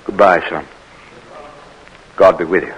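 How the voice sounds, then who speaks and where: A man's voice: low voice, measured pace, a bit bright, lo-fi sound, slight background noise. An actor playing a grave, solemn middle-aged Southern man in a 1940s radio drama, speaking hesitantly.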